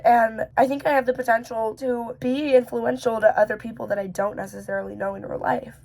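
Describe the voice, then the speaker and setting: A woman vlogger with a hoarse voice, still recovering from losing it, who talks casually and thinks aloud with lots of fillers and self-questioning. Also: on the high side, a little mellow. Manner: rambling and musing, many fillers and self-questions. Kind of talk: casual reflective talk to camera.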